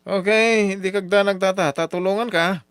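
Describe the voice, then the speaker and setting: An actor playing a domineering, cruel, jealous husband with money, in old radio drama style. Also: male voice, high voice, steady tone, normal speed, a bit bright, clean tone, no echo, studio quality. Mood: calculating. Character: domineering rich suitor turned cruel, jealous gambler husband.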